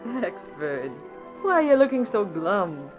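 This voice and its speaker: Slow-talking, wry secretary. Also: female voice, mid-range voice, sing-song, faint music behind. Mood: wry, wistful. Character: wry, wistful office secretary woman eager to be reporter. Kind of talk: 1940s radio drama acting. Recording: muffled vintage radio broadcast.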